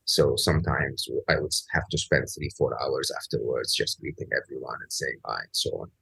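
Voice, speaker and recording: A man in his fifties with an other than English, hosting a podcast in casual conversation, soft-spoken with halting restarts. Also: rumbling low, creaky, husky, rough recording. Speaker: podcast host.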